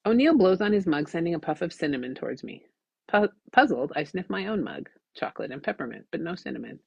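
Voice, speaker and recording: A woman reading her own audiobook story in an expressive, scripted narrating style. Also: fairly low pitch, fast talker, vocal fry, breathy edge, no room sound, muffled recording.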